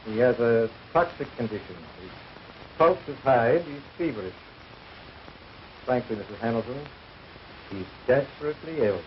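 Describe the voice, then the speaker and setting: An actor playing a grave, blunt family doctor in a 1930s film melodrama. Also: male voice, medium pitch, expressive, normal speed, pauses often, natural voice. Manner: blunt, formal, solemn. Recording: dull, hissy 1930s film soundtrack.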